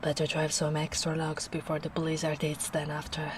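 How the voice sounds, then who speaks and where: A woman playing a gentle, caring big ogre in a soft-spoken ASMR roleplay, musing in an unhurried way. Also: sits low, monotone, background noise, lightly acted. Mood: content, musing.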